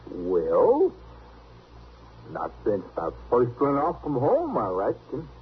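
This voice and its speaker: An actor playing a naive, stubborn, good-natured backwoods drifter with a rustic Southern drawl, earnest and artless, in old radio western style. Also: male voice, mid-range voice, wildly swooping pitch, slow, slightly rough, phone-quality sound, heavily acted. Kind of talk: 1950s radio western acting. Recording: band-limited, noisy old radio recording.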